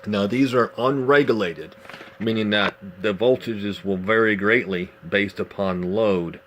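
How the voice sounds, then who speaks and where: A man giving a casual, unscripted show-and-tell demonstration in a measured, explanatory way, pausing as he goes. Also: lowish voice, fairly bright tone. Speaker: vintage radio collector presenting a demonstration. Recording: clearly noisy amateur home video.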